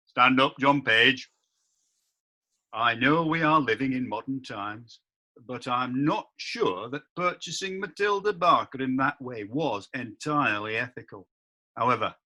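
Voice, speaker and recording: A man playing a medieval court judge who delivers formal, measured rulings. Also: medium pitch, sharp tone, full character voice.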